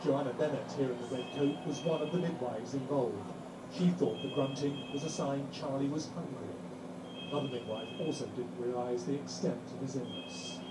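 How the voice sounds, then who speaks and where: A man narrating a scripted news report as a correspondent, heard from far off in a noisy, echoey recording. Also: mid-range voice. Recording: distant, echoey playback with heavy noise.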